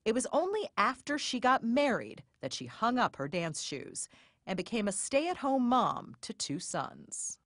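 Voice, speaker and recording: A woman correspondent narrating a scripted television news feature in a lively, expressive way. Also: a little high, a little slow, no echo, clean studio sound. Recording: music bed underneath.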